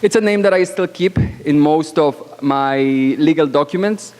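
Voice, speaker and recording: A woman born abroad speaks as a panelist at a conference, with a loud voice and a frank, assertive, deliberate delivery broken by pauses, into a microphone in a banquet hall. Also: low-pitched. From born outside Canada. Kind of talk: conference speech. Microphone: microphone at podium. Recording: long hall reverb.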